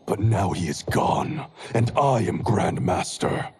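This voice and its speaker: A man's voice: lowish voice, expressive, slow pace, darkish tone, a little hiss or hum. An actor playing a cold, proud male clan grand master in a game cutscene. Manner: cold, contemptuous. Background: orchestral game music.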